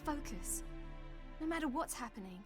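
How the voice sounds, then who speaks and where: A woman's voice: high voice, lively intonation, normal speed, over music. An actress playing a fiery, headstrong young swordswoman in a video game, speaking bluntly. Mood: weary, candid. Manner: blunt, impassioned.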